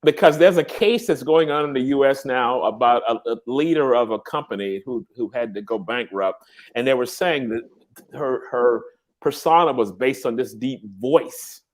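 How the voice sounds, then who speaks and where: A man's voice: mid-range voice, moderate pace, low-quality recording. A man hosting a casual interview, encouraging his guest with short affirmations and steering the conversation. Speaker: host.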